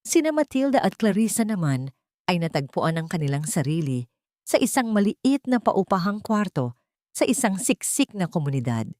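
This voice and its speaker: A woman narrates dramatic fiction in a fairly low voice. Her phrasing is deliberate and sentimental, like scripted storytelling. The sound is dry, with very little room around it. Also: a little mellow, dry recording.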